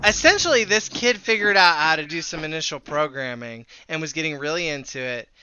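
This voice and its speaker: A man's voice: a little high, expressive, a little fast, bright, forceful tone, clean tone, dry recording, dull audio. A man chatting casually as he streams a game, swearing and exclaiming freely. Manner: profane, exclamatory. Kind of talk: casual chat while gaming. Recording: music behind the voice. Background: music.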